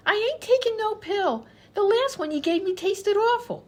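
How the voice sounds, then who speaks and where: Very high voice, swooping delivery, scatterbrained folksy chatterbox, radio comedy patient, rambling. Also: female voice, lively intonation, moderate pace, a little mellow. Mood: whiny. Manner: rambling, folksy ungrammatical speech. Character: chattering dim-witted vaudeville patient Ruby, nonsensically rambling. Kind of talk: old-time radio comedy acting.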